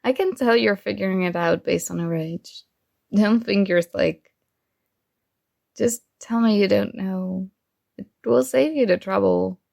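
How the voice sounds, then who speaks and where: Woman acting as jealous girl roommate in asmr roleplay, teasy but acting like she doesnt care, slow n creaky voice. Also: medium pitch, a little mellow. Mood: skeptical, prodding. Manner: playful teasing, hesitant, trailing pauses. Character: secretly jealous female roommate, teasing, hurt but feigning indifference. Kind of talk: ASMR roleplay, one-sided intimate conversation.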